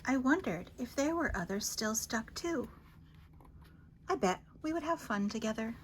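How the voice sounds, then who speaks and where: Animated, high-pitched woman reading bedtime stories. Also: a little hiss or hum. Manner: theatrical, expressive, with dramatic pauses and emphasis. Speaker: library storyteller reading picture books aloud. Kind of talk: children's bedtime story read-aloud.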